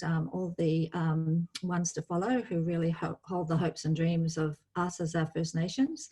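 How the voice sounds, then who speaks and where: A woman's voice: on the low side, a little mellow, low-quality recording. Hesitant, modest woman from Australia. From outback New South Wales, Australia. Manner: modest, hesitant, many fillers. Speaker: guest. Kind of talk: personal story on a panel call.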